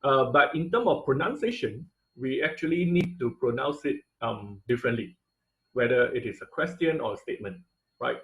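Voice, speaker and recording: A man's voice: mid-range voice, animated, moderate pace, fairly bright tone, poor audio. A man teaching an online robotics class, explaining step by step with many "uh"s and "right?" checks. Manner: explanatory, frequent "uh" and "right?" tag questions. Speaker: robotics instructor. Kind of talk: online class lecture over slides.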